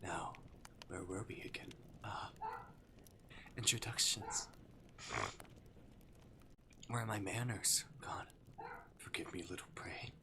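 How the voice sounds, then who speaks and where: A man's voice: lowish voice, takes it slowly, long pauses, whispered. A man voicing a playful, theatrical arctic demon from a visual novel, with mock-courteous, teasing menace. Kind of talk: visual novel character voice acting. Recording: clear background noise.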